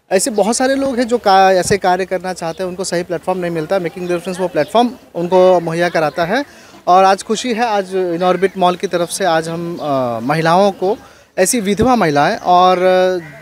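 A man in his thirties with an Indian accent, answering interview questions outdoors as a charity representative. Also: high voice. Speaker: NGO representative being interviewed. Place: outdoors at a street event. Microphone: small mic held out by the interviewer.

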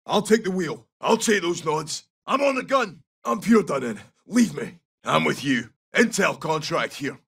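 A tough Scottish special forces soldier from a military video game. His voice is husky and crackles with gravel, swinging widely in pitch as he snaps out brisk callouts. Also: big pitch swings, a bit bright, distant mic, exaggerated voice. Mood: grim, resigned. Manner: clipped, brisk, urgent.

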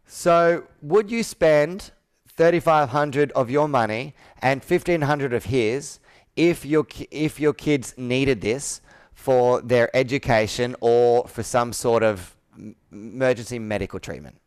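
A man's voice: mid-range voice, unhurried, clear, resonant tone. A man in his thirties coaching sales through roleplay in a persuasive, direct manner. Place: indoor room with whiteboard, on a video call.

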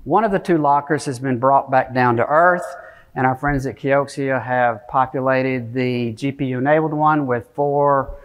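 A middle-aged man giving an informal technical keynote in a conversational manner. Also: mid-range voice. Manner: conversational, frequent tag questions like "okay?" and "right?"; frequent throat clearing. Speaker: conference keynote speaker. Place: conference stage, speaking from a podium area to an audience.

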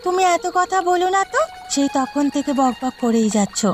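A woman's voice: high-pitched, expressive, moderate pace. A woman voicing a confident, bossy young city bride in a cartoon drama, firmly giving instructions, with music behind. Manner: firm, instructing.

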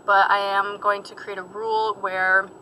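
A young woman talks from behind the wheel, chatting loosely about her day with plenty of fillers. She is recorded on a dashboard camera inside the car, with steady road and engine noise underneath her voice. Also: medium pitch, normal speed, low-quality recording. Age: young adult. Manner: chatty and rambling, many fillers and asides. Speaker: vlogger. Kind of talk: casual vlog chat while driving. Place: inside a parked and moving car.

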